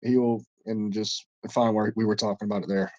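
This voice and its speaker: A man's voice: lowish voice, flat delivery, a little fast, darkish tone, no room sound, muffled recording. A man co-hosting a casual podcast, stuffed up and congested, telling a long story in a rambling, profane way with many restarts. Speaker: podcast co-host.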